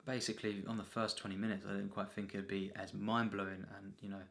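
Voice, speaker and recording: A man with a fairly low, creaky voice gives an off-the-cuff film review. His delivery stays in a narrow pitch band with a hard, forceful edge. He speaks haltingly, circling back and repeating himself as he goes. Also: level tone. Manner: halting, repetitive, frequent throat clearing and filler phrases. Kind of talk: unscripted, rambling film review.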